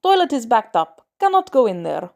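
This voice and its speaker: A woman voicing a blunt elderly Russian woman shopkeeper in a story, loud and curt with clipped sentences. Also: on the high side, big pitch swings, moderate pace, vocal fry, pitched above the performer's own voice. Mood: loud, insistent. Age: older.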